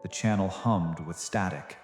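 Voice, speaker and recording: A man's voice: low-pitched, unhurried, echoey room, long reverb tail. A man reading scripted, dramatic science fiction narration as the narrator of an audio drama. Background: music.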